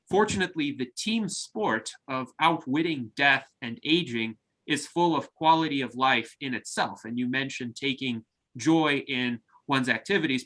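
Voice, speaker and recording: Sex: man. Role: host and moderator on a video call. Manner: measured and formal. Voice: bright, crisp. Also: medium pitch, low-quality recording. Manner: measured, formal, reads out audience comments. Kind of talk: moderating an online discussion.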